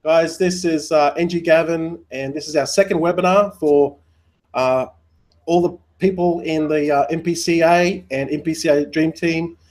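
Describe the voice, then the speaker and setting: A man's voice: a little high, fairly even intonation, moderate pace, darkish tone. A man hosting a live webinar, talking loosely with lots of fillers and a friendly manner. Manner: rambling, many fillers, friendly. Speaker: webinar host.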